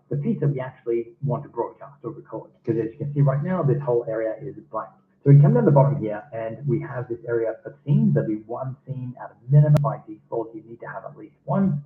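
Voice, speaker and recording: Male tutorial presenter, steady scripted explainer; tinny speaker playback. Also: medium pitch, echoey room. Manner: calm step-by-step explaining. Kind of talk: scripted screen-recording tutorial.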